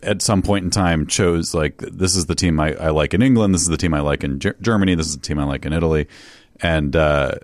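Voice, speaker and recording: A podcast co-host speaking in a very low voice, chatting casually in a studio. He rambles, restarts and hedges his way through explanations. The sound is dry and close. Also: fairly quick, no echo.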